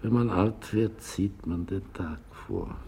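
An actor in a radio play playing a wry, patient, philosophical old man with a gravelly voice and a dry, musing, unhurried delivery. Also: deep voice, a little mellow, a little hiss or hum. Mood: musing, tender. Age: older. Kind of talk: 1980s German radio play acting.

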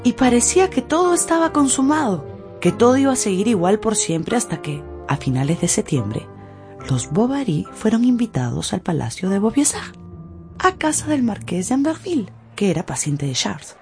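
A woman narrator telling a scripted story for a radio drama in an expressive, theatrical way. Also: medium pitch, normal speed. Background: faint music.

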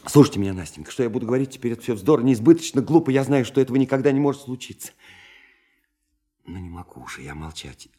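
An actor in a Russian radio play, voicing a shy, lovelorn young man who speaks haltingly and fervently, pleading and self-effacing. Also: mid-range voice, big pitch swings, mellow. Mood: tormented confession.

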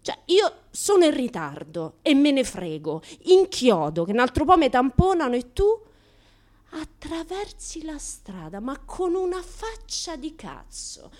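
An actress playing a self-styled naive, defiant young woman in a colloquial radio theatre monologue, with a Roman Italian accent, swinging between hushed asides and louder outbursts. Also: high voice, sing-song, a little slow. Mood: exasperated, outraged.

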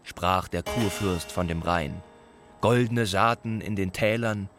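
A man declaiming old ballad verse in a radio play, stately and deliberate. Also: low-pitched, music in the background.

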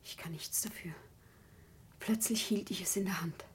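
An actress playing an earnest, dutiful milliner's wife in a radio play, grave, formal and restrained. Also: female voice, on the low side, normal speed, whispered, lightly acted. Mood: shaken, earnest. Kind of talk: German radio play acting.

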